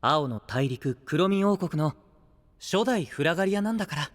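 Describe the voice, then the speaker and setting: A man's voice: a little high, lively intonation, normal speed, big-room sound, lightly acted. An actor playing a kind, encouraging youthful male friend with a reassuring manner in an anime-style voice drama. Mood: warm encouragement.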